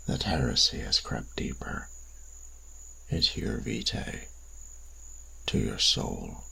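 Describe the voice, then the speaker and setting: A man playing a cold, stern, ancient vampire inquisitor priest in an audio drama, with a gravelly rasp and slow, formal, solemn delivery. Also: a bass voice, bright, forceful tone, voice pushed down, full character voice, poor audio. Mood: solemn, accusing. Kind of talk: dramatic audio roleplay acting.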